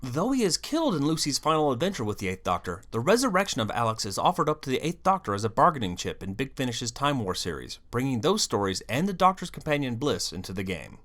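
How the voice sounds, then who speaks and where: Animated, emphatic video essay narrator. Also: mid-range voice, quick pace, few pauses. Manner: emphatic, theatrical delivery with wry asides. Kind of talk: scripted narration, explanatory fan commentary.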